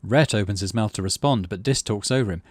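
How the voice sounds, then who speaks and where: A man's voice: on the low side, fast talker, slightly rough, dry recording. A man hosting a gaming channel, narrating a visual novel playthrough aloud.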